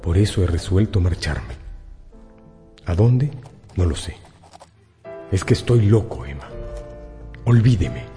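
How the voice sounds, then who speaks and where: A cynical, selfish seducer in a radio drama, full of theatrical false remorse. His voice is deep and dark, set in long reverb with music underneath. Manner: theatrical, self-absorbed. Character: cynical, selfish male lover feigning tragic remorse. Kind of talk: Spanish-language radio drama acting.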